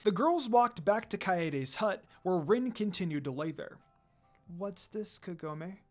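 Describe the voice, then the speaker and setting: An amateur narrator reads fan fiction aloud. The voice sits at a middle pitch and moves up and down a great deal, giving the storytelling an expressive feel. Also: unhurried, soft background music.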